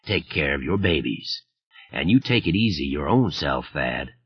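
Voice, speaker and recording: A man voicing a menacing, mocking man who talks in a slow, low, easy drawl. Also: expressive, husky, full character voice. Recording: muffled lo-fi audio.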